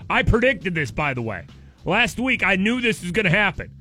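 A high, bright male voice with a sore, scratchy throat, talking fast and excitedly as a morning radio co-host. He jokes and teases in loose, sarcastic banter, and keeps going while his voice gives out. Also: faint music behind.

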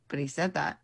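A young woman co-hosting a radio morning show, giggly and teasing in casual banter. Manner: giggly, self-deprecating, teasing. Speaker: radio co-host. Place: radio studio.

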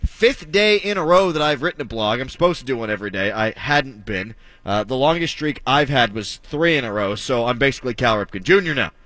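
Bright-voiced male sports radio host. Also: medium pitch, animated, dry recording. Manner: joking, wry, brisk.

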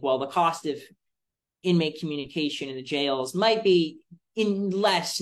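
A young adult American man from Florida giving explanatory interview answers as a student policy advocate, speaking in an articulate, deliberate way with emphatic phrasing. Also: fairly high pitch. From Florida, United States.

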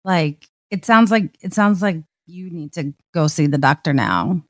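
A woman's voice: fairly low pitch, measured pace. A woman co-hosting a casual podcast who asks many curious questions. Her voice is recorded close and dry, with no room echo. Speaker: podcast co-host. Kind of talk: casual chat.

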